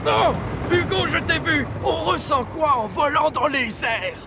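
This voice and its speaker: A man voicing an excitable, astonished steam engine in a children's cartoon, exclaiming as if breathless with amazement. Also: extremely high pitch, measured pace, background noise, heavily acted. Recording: music and engine sounds behind.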